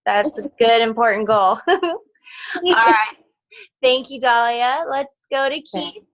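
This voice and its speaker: Woman host for a group video call; unhurried, encouraging, muffled call audio. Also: fairly high pitch, fairly bright tone. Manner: encouraging, calls on each person in turn.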